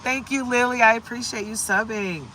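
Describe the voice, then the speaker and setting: A hoarse woman from the United States doing a casual, upbeat tarot livestream and greeting viewers by name. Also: on the high side, normal speed, pushed and bright, slight background noise, rough recording. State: hoarse from shouting. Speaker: tarot reader.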